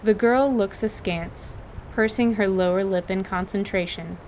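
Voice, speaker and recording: A woman's voice: medium pitch, measured pace, a little mellow, a little hiss or hum. A woman giving scripted, dramatic narration of a fantasy story as its narrator.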